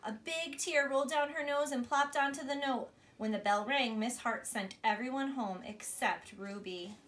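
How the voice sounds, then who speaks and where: Female storybook reader, thirties, high bright voice, expressive delivery, recorded in a small bedroom. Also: moderate pace. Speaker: teacher reading a bedtime story. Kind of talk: storybook read-aloud to a child. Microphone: camera a few feet away.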